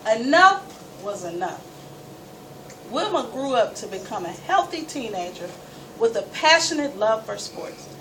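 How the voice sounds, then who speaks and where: Distant, higher-pitched woman reading a story aloud. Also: very animated, unhurried. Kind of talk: expressive read-aloud of a first-person story. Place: live event space, recorded from a distance. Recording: distant mic, noisy room ambience.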